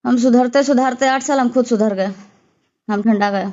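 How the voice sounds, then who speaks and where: A woman with a fairly high voice argues defiantly and indignantly. She is curt and firm in a heated personal quarrel. The recorded call sounds dull and lo-fi. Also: normal speed, a little mellow. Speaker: caller in a personal phone argument. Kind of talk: heated private argument.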